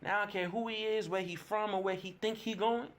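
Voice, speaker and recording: A man's voice: high-pitched, steady tone, brisk. An actor performing a theatrical monologue as a streetwise older man, earthy and cocksure, with emphatic folksy vernacular. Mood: cocky, amused.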